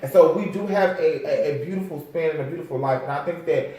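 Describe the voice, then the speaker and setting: A man's voice: on the high side, fast, mellow, lo-fi sound. An American man hosting a casual interview in an effusive, encouraging, sassy and slangy way. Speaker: host interviewing a guest; makeup artist. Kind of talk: casual, chatty interview talk. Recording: very echoey room, far from mic.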